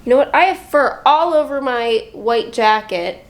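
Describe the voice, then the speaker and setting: Brief: upbeat woman vlogger at her desk at home, stuffy and slightly nasal, chatty and relaxed. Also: a little high, a little slow. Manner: chatty, upbeat, talks to camera. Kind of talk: casual vlog chat. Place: home office.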